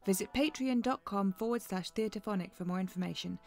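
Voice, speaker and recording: Creaky-voiced woman reading a promo. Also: mid-range voice, fairly quick, a little mellow. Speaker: podcast announcer. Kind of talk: scripted promo read.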